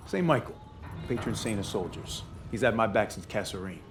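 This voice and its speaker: Taunting young soldier, swinging pitch. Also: male voice, lively intonation, fairly quick, noisy background. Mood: challenging. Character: bigoted rustic young soldier, combative and boastful.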